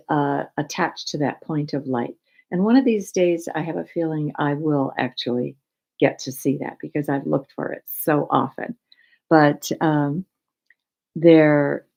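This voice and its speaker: An older American woman giving an informal spiritual talk with personal anecdotes, speaking in a reflective, halting and deliberate way. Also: sits low, a little mellow. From United States, raised in Michigan. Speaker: spiritual teacher.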